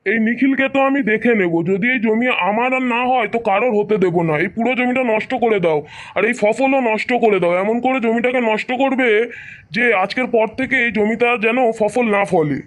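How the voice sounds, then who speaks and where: A man's voice: very high-pitched, brisk. A man voicing a greedy, vengeful village villain in a cartoon, menacing and commanding.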